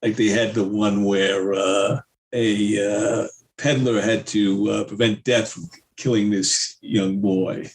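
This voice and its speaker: A man as a podcast guest, reminiscing casually with halting phrases and pauses mid-thought. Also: on the low side, fairly even intonation, a little hiss or hum, poor audio.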